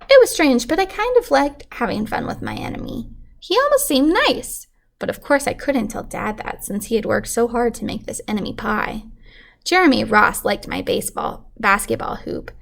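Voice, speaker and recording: Female teacher for picture-book read-aloud, highish voice with clear creak, expressive storytelling. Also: normal speed.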